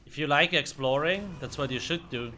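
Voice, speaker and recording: Bright-voiced Swiss German streamer man. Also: fairly high pitch, normal speed. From Switzerland. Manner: loose and profane, muttering reactions between chat. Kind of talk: casual gameplay commentary.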